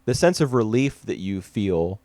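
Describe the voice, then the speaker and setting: A man's voice: lowish voice, very animated, moderate pace, warm tone, no room sound. A man co-hosting a podcast, chatting casually and analytically with fillers and restarts. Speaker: podcast co-host. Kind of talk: casual anime discussion.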